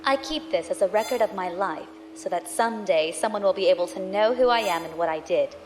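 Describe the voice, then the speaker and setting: A woman's voice: medium pitch, brisk, a little mellow, soft background music, lightly acted. A woman playing a cynical space pirate narrating her journal in an audio drama, sardonic and conversational. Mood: wry, resigned.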